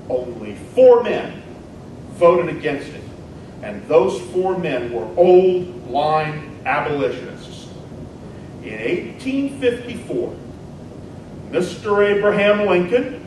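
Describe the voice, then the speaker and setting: A man playing a fiery 1850s American senator in a dramatic reading of a political stump speech, with emphatic, deliberate oratory. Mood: accusing. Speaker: reader in a dramatic debate reenactment. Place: large echoing indoor event room. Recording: echoey hall, distant mic, noisy rumble.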